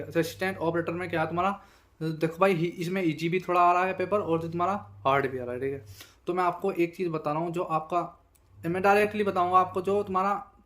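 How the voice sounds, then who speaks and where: A young man giving an informal analysis to viewers, conversational and repetitive, with frequent verbal tags. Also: sits high. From Bijnor, Uttar Pradesh, India. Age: young adult. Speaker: exam candidate and video host.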